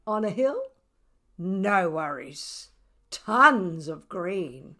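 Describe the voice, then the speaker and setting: A woman voicing a smug, defiant male pet cat who makes indignant, mock-innocent excuses in an expressive children's storybook reading. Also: fairly low pitch, very slow, heavily acted.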